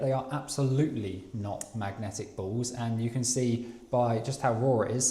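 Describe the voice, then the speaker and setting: A man's voice: medium pitch, darkish tone, reverberant. A man co-hosting a live prize draw, reading viewer comments aloud in casual, reassuring talk. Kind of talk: casual live-stream chat.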